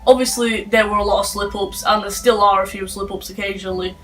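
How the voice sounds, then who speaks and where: A young man, giving calm, earnest answers as an interview guest. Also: high voice, fast, recorded from a distance, soft background music, rough recording. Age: late teens.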